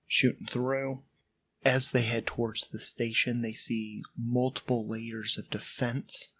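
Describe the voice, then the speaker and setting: A man's voice: medium pitch, fairly bright tone. Informal man narrating a solo tabletop game. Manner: informal, thinking aloud.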